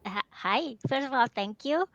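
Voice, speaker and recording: A woman's voice: high voice, expressive, moderate pace, fairly bright tone, no echo, dull audio. A woman with a Thai accent, a happiness coach speaking hesitantly as a guest on a video call. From Thailand. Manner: hesitant, many ums. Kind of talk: casual video call chat.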